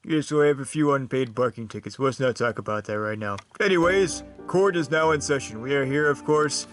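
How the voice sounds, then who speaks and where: A man voicing a bumbling wizard who works as a judge, talking in a slow, rambling, absent-minded way. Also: mid-range voice, faint music behind, natural voice. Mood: pompous. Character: bumbling middle-aged male judge who is also a wizard. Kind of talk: comedic brickfilm voice acting.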